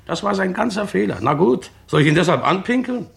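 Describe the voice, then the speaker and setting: An actor playing a defiant, plain-spoken factory worker in a radio crime drama, coarse and argumentative, with a creaky voice. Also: male voice, sits high, sing-song, fairly quick, full character voice. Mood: blunt, scornful.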